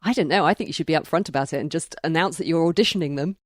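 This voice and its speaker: A woman with a slightly low voice, working as a podcast interviewer. She talks in a casual, conversational way, as in an informal chat. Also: rapid-fire.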